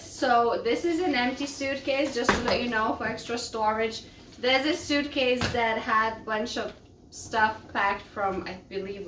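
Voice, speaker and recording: Woman vlogger, voice rough from a cold, loud and rambling, slightly high, recorded from across an echoey room. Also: a little hiss or hum. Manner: loud, rambling, self-deprecating. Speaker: vlogger and small business owner. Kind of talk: casual chatty vlog. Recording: roomy echo, distant mic.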